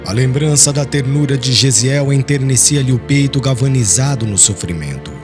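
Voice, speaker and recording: A man's voice: medium pitch, moderate pace. A man with a Brazilian accent reading dramatic, solemn audiobook narration, with music playing behind him. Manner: solemn, expressive.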